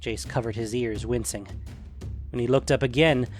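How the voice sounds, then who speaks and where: A man's voice: mid-range voice, steady tone, normal speed, soft background music. A man narrating a scripted fantasy adventure story.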